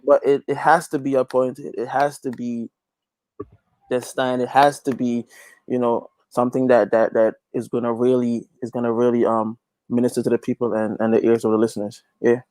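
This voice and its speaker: A man's voice: medium pitch, level tone, normal speed, warm tone, dry recording, low-quality recording. A man in a casual interview, speaking as a guest musician and repeating phrases emphatically as he builds a point. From Guyana. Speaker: interview guest, gospel reggae artist.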